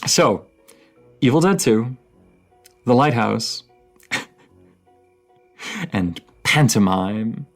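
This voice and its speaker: Male actor as nerdy horror-buff boyfriend: unhurried, gravelly edge, rambling and teasing, intimate roleplay audio. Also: medium pitch, lively intonation, faint music behind, heavily acted. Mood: excited, playful. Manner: rambling, giggly, hesitant, teasing. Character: dorky, playful young romantic partner, horror film nerd.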